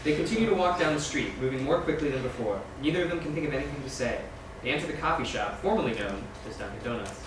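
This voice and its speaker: A man's voice: mid-range voice, animated, quick pace. A man reading scene directions as scripted narration at a screenplay table read. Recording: distant, very echoey room with background noise.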